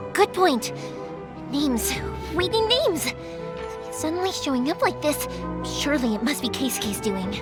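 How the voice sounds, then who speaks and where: An actress playing a kind, spirited young woman in a video game, protective and upbeat. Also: high-pitched. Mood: alarmed. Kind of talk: English video game voice acting.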